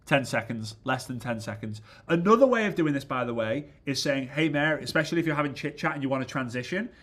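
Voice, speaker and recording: A congested man giving an energetic motivational business training talk, often checking in with "right?". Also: medium pitch, fast. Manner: energetic, keeps asking "right?". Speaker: business coach.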